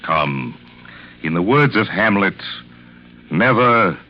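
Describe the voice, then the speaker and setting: An actor playing a condescending, gloating theatrical murderer with a grandiloquent, mocking delivery, in 1940s radio drama style. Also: male voice, low-pitched, very animated, slow, heavily acted. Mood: smug, mocking. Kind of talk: 1940s radio suspense acting. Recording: dull, noisy vintage radio recording.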